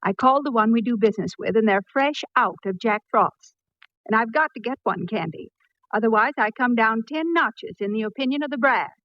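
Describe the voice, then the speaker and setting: A woman's voice: on the high side, expressive, brisk, dry recording, muffled recording, natural voice. An actress playing a harried, earnest woman department store executive in 1940s radio style. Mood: urgent pleading. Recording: band-limited old radio recording.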